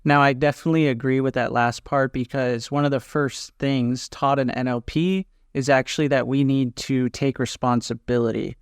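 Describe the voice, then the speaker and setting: A man hosts a podcast and asks his questions in an upbeat, scripted way. His voice is mid-pitched, smooth and clear, recorded dry and close. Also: no echo. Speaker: podcast host. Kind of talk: podcast interview hosting.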